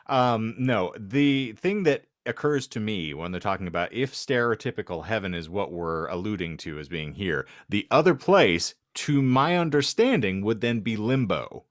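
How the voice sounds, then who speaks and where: A man's voice: on the low side, expressive, moderate pace, few pauses, no echo, studio quality. A man co-hosting a casual panel podcast, bantering with wry, mock-formal phrasing. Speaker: podcast co-host.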